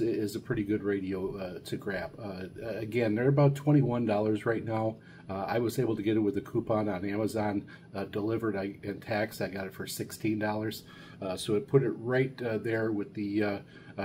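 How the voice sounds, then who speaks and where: A man with a fairly low, dark and relaxed voice talks through a casual gear review. He speaks haltingly and rambles, often repeating words as he feels his way along. There is a faint background noise behind him. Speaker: video host reviewing radio gear. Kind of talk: casual unscripted product review.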